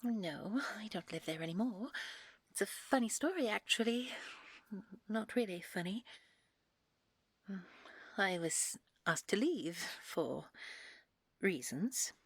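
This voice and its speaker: A woman's voice: medium pitch, animated, slow, long pauses, slightly rough, no room sound, exaggerated voice. A woman playing a genteel, vain and naive fairy noblewoman in a fantasy audio roleplay, prim and hesitantly polite. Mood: polite, flustered.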